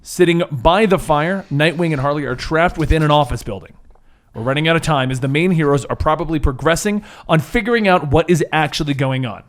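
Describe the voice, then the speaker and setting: A man's voice: a little high, a little fast. A man acting as game master, narrating a scripted superhero campaign recap with casual banter.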